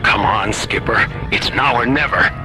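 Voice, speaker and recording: An actor in a radio drama playing a loyal, excitable spaceship co-pilot who reports with great urgency. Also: male voice, fairly low pitch, measured pace, natural voice. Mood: urgent alarm. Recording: loud music and battle effects behind.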